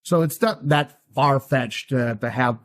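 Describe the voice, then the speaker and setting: A man as a podcast guest, chatting in a relaxed, agreeable way. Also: medium pitch, unhurried. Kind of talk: casual chat.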